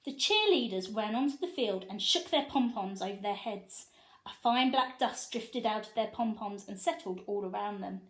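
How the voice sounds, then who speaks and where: A woman reading a children's storybook expressively, pausing to put questions to her young listeners. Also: mid-range voice. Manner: animated, asks listeners questions.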